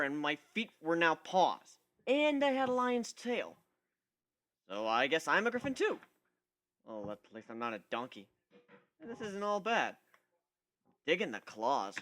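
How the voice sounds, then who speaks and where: Male narrator, bright and slightly high, sarcastic, theatrical delivery of first-person fiction. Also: big pitch swings, a little slow, long pauses. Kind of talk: dramatic first-person story reading.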